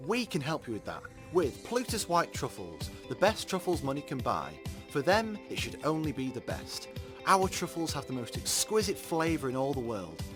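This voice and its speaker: A man's voice: a little high, big pitch swings, normal speed. A man playing a slick voice in a radio advert, selling luxury goods. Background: music bed.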